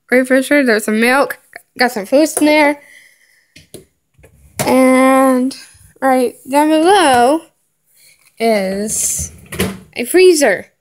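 Woman, high voice, very slow, halting with fillers, lists items one by one, home tour vlog, handheld phone indoors. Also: slight background noise. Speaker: vlogger giving a home tour. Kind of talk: casual home tour narration. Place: house rooms, indoors. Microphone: handheld phone camera, filming while walking.

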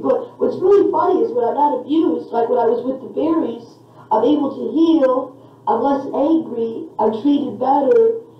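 A high-voiced woman vents with defiance and anger, cursing freely as a patient in therapy. The recording is muffled and distant, with heavy room echo. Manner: angry, defiant, profane. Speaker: therapy patient on a session tape. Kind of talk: heated venting in a therapy session. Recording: echoey, distant, muffled session tape.

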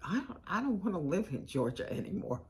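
A woman's voice: on the low side, very animated, brisk, darkish tone. An older American woman playing a candid, caring law school administrator in a conversational, emphatic dramatized monologue. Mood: troubled, reflective. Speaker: performer in a dramatized narrative. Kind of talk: conversational monologue, dramatized first-person account. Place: small indoor room with bookshelves.

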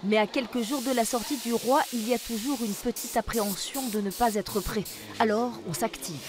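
A woman reporter giving a scripted news voice-over with lively, punchy phrasing. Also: fairly high pitch, moderate pace, noisy background.

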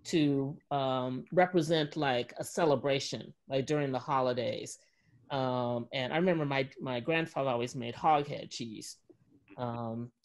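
A woman in her forties moderating a panel from home over a video call, chatty and full of fillers. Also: extremely low pitch. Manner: chatty, many fillers, laughs. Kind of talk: casual panel discussion. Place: home room, indoors. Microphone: video call webcam.

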